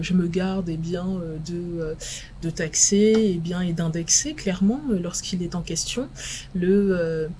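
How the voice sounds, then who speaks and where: A woman expert guest on a televised panel debate, from France, answering in a measured, analytical way with frequent halting pauses. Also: on the low side, dark tone, a little hiss or hum. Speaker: guest expert in international relations.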